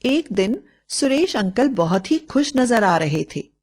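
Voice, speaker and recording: A woman's voice: a little high, big pitch swings, unhurried, darkish tone. A woman storyteller reading a scripted moral bedtime story.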